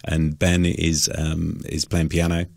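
A man's voice: very deep voice, level tone, slow, creaky, a little mellow, no echo. A middle-aged man being interviewed as a guest, chatting casually and rephrasing his thoughts as he goes. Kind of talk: casual radio interview chat.

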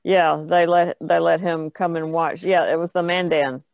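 A woman's voice: on the low side, fairly even intonation, normal speed, creaky, a little mellow, dry recording. A woman chatting casually as a participant in a podcast discussion. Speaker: podcast co-host.

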